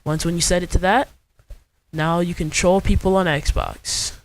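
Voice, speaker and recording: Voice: mid-range voice, steady tone, measured pace, slight background noise, low-quality recording. A young adult host giving an informal, step-by-step screen tutorial in a casual way. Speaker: video host.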